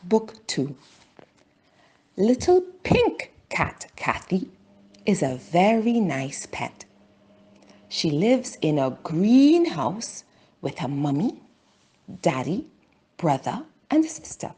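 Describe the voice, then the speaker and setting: Female storybook reader for young learners: slow, deliberate, lively intonation, slightly husky low-set voice. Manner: deliberate, simple phrasing with pauses. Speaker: children's storybook narrator. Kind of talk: slow scripted story narration for language learners.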